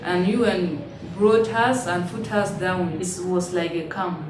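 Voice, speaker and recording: A woman, telling her story in halting, simple English with frequent restarts. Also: fairly low pitch, steady tone, darkish tone. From South Sudan. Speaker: interviewee, refugee. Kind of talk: personal testimony in an interview. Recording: roomy, distant mic, noisy ambience.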